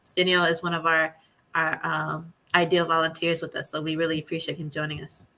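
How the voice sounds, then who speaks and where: Bright-voiced woman hosting casually. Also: sits low, level tone, fairly quick, slight background noise. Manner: chatty, hesitant with many fillers. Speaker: host.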